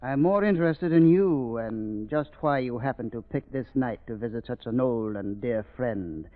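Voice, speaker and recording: An actor playing a sinister, coldly mocking villain of a doctor in a deliberate, menacing manner, in 1930s radio thriller style. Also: male voice, medium pitch, lightly acted. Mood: probing, suspicious. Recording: muffled, dull 1930s radio recording.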